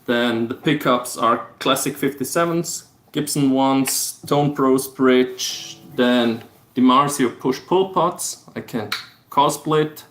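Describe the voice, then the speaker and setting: Austrian guy, german accent in english, slow halting livestream chat, kinda echoey room. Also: fairly bright tone. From Austria (Vorarlberg). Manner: halting, low-key, explains step by step. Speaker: livestream host. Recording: roomy echo.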